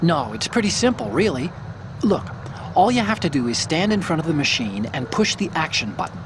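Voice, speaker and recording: An actor playing an eager male hacker who explains things quickly over a radio link. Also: a little high, very animated, noisy background. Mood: explaining. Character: chatty male tech support hacker.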